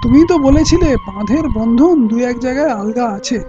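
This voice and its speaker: A man's voice: extremely high pitch, lively intonation, a little fast. An actor playing a cautious, doubting townsman who questions and cautions in an audio play. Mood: questioning, concerned. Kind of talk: Bengali audio play acting. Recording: dull lo-fi audio play, faint music.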